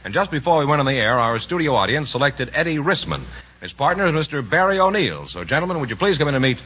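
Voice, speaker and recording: A man's voice: a little high, very animated, quick pace, a little hiss or hum. A man announcing a mid-century radio quiz show as the host's sidekick. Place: broadcast studio with audience. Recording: dull vintage radio broadcast, loud music.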